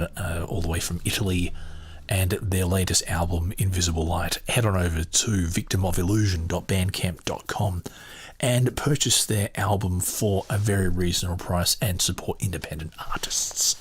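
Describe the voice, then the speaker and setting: Deep husky guy hosting his podcast, rambly and laid back. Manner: relaxed, rambling, with hesitations and self-corrections. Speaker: podcast host. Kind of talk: casual podcast chat.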